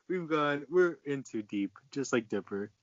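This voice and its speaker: A man's voice: fairly high pitch, animated, takes it slowly, no echo. A young man reading a story and bantering casually with friends. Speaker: co-host reading fan fiction aloud. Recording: dull lo-fi voice chat audio.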